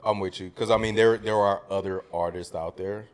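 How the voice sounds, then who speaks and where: A man with a distinctly low voice chats as a panelist on a podcast. He speaks informally, with slangy phrasing, in an easy back-and-forth discussion. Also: fairly even intonation, fairly quick. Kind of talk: casual discussion.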